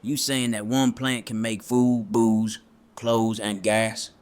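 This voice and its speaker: An actor playing a gruff, plain-spoken male survivor in an audio drama, with a blunt, folksy manner. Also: fairly low pitch, level tone, takes it slowly. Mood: casual, wry.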